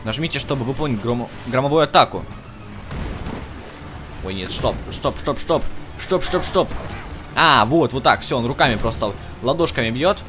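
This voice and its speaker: A man's voice: medium pitch, animated, moderate pace, long pauses, music in the background. A man giving casual let's play commentary as host, chatting to the game's characters and to his viewers. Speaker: gaming video host.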